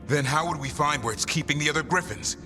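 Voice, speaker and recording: A man's voice: on the high side, fast, lightly acted. A man playing a protective, principled warrior who handles a beast in a fantasy game, with a firm, emphatic manner. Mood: firm, urgent. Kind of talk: scripted fantasy game dialogue. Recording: music behind the voice.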